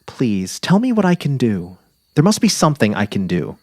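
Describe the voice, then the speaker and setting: A man's voice: medium pitch, wildly swooping pitch, normal speed, darkish tone, no echo, studio quality. A man voicing an anxious, pleading wife of a dying writer in a dramatic literary reading. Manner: pleading, nervous, insistent.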